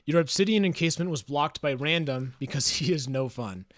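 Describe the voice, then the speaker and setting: Soft-spoken man streaming from home. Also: on the high side, brisk, no echo. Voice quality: smooth, soothing voice. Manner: relaxed, musing, hesitant with fillers. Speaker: livestreamer. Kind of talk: casual livestream chat, reading chat aloud.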